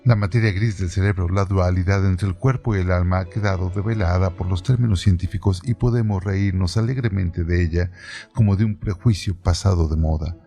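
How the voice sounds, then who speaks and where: A man giving a measured, deliberate scripted reading of a literary text as narrator of a radio-theatre piece. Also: low voice, creaky, warm tone, faint music behind.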